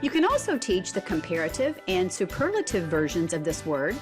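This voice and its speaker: Woman, instructional storybook read-aloud, expressive teacher delivery, very varied intonation, faint background music. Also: fairly low pitch. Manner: deliberate, expressive teacher delivery with prompting questions. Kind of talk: instructional narration and picture book read-aloud for children.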